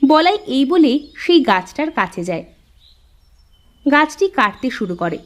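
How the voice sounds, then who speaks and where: High-voiced woman narrating a story. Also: sing-song, a little slow. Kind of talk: scripted cartoon story narration.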